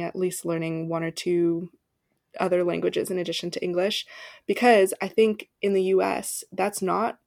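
Young woman with a gravelly voice. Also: on the low side, a little mellow, no echo, clean studio sound. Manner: hesitant, many ums and restarts. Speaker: podcast co-host. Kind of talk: casual podcast conversation.

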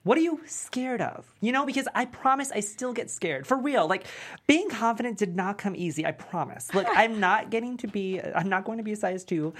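A woman's voice: medium pitch, lively intonation, normal speed, lightly acted. A woman playing a dramatic, chubby fourteen-year-old boy who fancies himself a star, gushing in teen slang at a comedy script table read. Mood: peppy, confiding.

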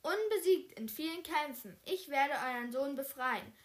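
A man's voice: extremely high pitch, expressive, normal speed, a bit bright, pitched above the performer's own voice. A man voicing a brave, commanding young female knight in a storybook tale. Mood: proud. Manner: proud, commanding.

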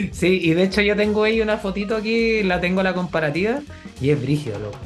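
A young man with a Chilean accent, speaking in a slightly higher register. He chats casually with his co-host, stacking up fillers and laughing easily. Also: lively intonation, a little fast, faint music behind. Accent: Chilean Spanish. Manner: chatty, laughs often, many fillers. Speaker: podcast co-host. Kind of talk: casual chat.

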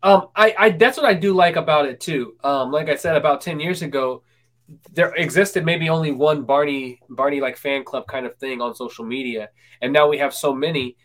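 Host, man in his twenties, a bit higher-pitched, hesitant, many fillers and restarts. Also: normal speed, a bit bright. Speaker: podcast host. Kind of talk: casual interview chat.

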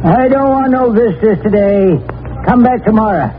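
Defiant country villain, very high-pitched voice, surly, taunting, folksy grammar, noisy vintage radio drama. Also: male voice, lively intonation, moderate pace. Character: defiant, surly rural homesteader accused of murder. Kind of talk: 1940s radio crime drama acting. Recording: noisy narrow-band 1940s radio recording.

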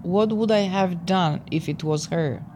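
A woman reads a first-person account as a documentary voice-over. Her voice sits fairly low, and there is clear background noise under the recording. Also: unhurried. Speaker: voice-over reader of a translated testimony. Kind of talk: scripted first-person narration.

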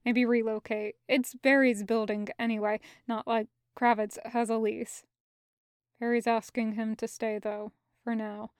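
A woman's voice: a little high, little pitch movement, unhurried, clean tone, dry recording, clean studio sound. A woman reading scripted fiction as the narrator of an audio story. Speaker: podfic narrator.

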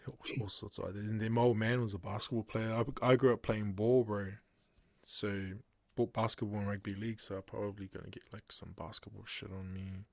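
A man's voice: fairly low pitch, little pitch movement, brisk, creaky, dry recording. A man with a New Zealand accent hosting a podcast, laid-back and casual, laughing often and calling his guest "bro.". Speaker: podcast host. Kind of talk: casual chat.

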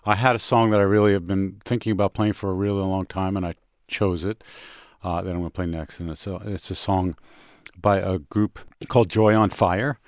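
A man's voice: low-pitched, fairly even intonation, fairly quick, dry recording, clean studio sound. A man hosting a music show, chatting casually and introducing songs in an enthusiastic, rambling way, as if thinking aloud.

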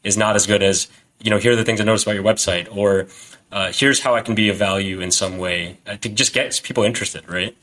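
Fast-talking low-voiced male host. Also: fairly even intonation, a bit bright, poor audio. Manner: brisk, reassuring, quick to laugh. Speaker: podcast host. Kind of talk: interview questions.

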